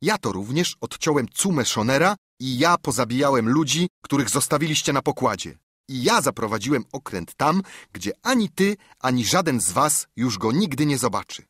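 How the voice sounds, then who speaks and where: A man acts a brave boy in a sea-adventure storybook. The voice is bold and defiant, with forceful edges, a husky grain and lively rises. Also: medium pitch, normal speed, dry recording, clean studio sound, voice pushed up. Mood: defiant, proud. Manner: bold, declamatory. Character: defiant, brave boy narrator facing down pirates. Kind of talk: audiobook character acting.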